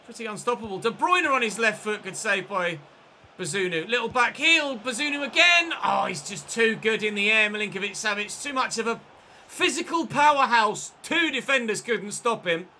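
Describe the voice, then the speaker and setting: A man commentating his football video game in a chatty way, breaking into excited shouts at big moments. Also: sits high, normal speed, a little hiss or hum. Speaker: gaming channel host.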